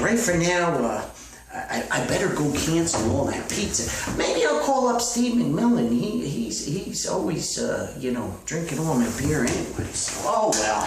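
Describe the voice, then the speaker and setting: A man puts on a self-important yet hapless author in a comedy phone skit, excitable and stubbornly positive. His lines wander and stammer, with lively swings in pitch. The recording is very roomy, far from the mic, with faint noise. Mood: bravely hopeful. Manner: stammering, rambling, repeating himself. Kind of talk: one-sided comedy phone call skit. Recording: echoey distant old recording, faint noise.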